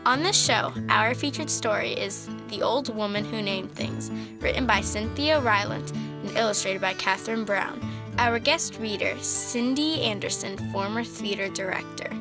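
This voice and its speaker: A woman reads a scripted program introduction as an announcer. Her voice sits at a medium pitch. Music is clearly heard behind her. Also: moderate pace.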